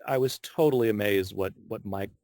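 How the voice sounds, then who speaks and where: Low-voiced man chatting as interview guest. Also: fairly quick, darkish tone, no echo, clean studio sound. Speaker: guest voice actor. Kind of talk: casual interview chat.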